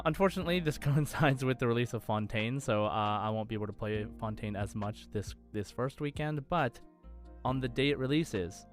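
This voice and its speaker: Man, average pitch, podcast co-host, casual gaming chat, music playing behind him.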